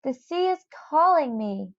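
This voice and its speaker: A woman's voice: high-pitched, very animated, a little slow, muffled recording, voice pushed up, exaggerated voice. A woman voicing a dreamy, determined male rat in a storybook, earnest and stubborn. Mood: earnest longing.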